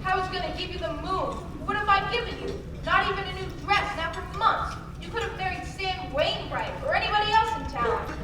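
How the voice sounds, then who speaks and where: A woman's voice: very high-pitched, a little fast, few pauses, noisy background. A young woman playing an earnest small-town husband in an old-fashioned radio play, with a folksy, emphatic delivery. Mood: tender, regretful. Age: young adult. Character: earnest small-town husband and loan man, hot-tempered under strain. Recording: echoey live stage, distant mic, music behind.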